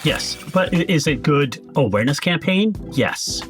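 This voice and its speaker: A man's voice: on the high side, lively intonation, moderate pace, over music. A man speaking as a podcast guest, explaining his industry in a conversational, professional way. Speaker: podcast guest, advertising director.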